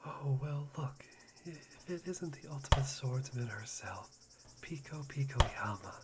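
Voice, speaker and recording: A performer plays an unhinged young man in an ASMR roleplay, whispering in a breathy, mid-pitched voice. He sounds meek and worshipful, with a softly menacing edge and obsessive devotion. The recording is heavily noisy. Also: animated, a little slow, close to an everyday voice. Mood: fascinated, musing.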